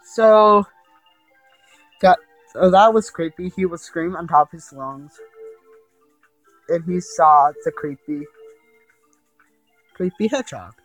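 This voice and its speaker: A man telling a horror story informally, in a halting, rambling and repetitive way. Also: high voice, soft background music, low-quality recording. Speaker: amateur storyteller reading a creepypasta. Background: ticking and chiming clock.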